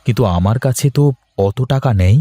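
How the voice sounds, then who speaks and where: A man's voice: medium pitch, brisk, warm tone, breathy edge, natural voice. A man voicing a weary, worried but proud working husband in a cartoon, with a homely, complaining delivery. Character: weary, worried married office worker, proud and plain-spoken. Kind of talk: Bengali cartoon voice acting.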